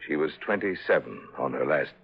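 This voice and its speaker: Gravelly, dignified older executive. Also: male voice, very deep voice, animated, brisk, heavily acted. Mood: bitter, confiding. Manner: formal, emphatic, hesitant at moments. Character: dignified, urgent gray-haired advertising executive, widowed father. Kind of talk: 1950s radio drama acting. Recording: muffled, band-limited vintage radio recording.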